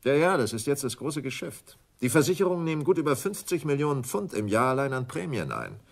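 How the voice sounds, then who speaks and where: An actor playing a smooth, scheming English stockbroker in a German radio crime drama, speaking in a deliberate, condescending way. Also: male voice, mid-range voice, sing-song. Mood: smug, businesslike.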